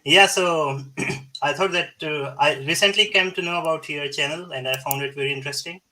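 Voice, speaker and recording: A man's voice: a little high, brisk, pushed and bright, a little hiss or hum, poor audio. A man from Pakistan calling into a livestream, speaking politely and hesitantly with frequent fillers. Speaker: caller. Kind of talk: call-in conversation.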